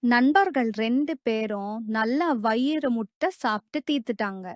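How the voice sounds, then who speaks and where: A woman's voice: a little high, a little slow, dry recording, clean studio sound. A woman narrator reading a scripted moral story for children.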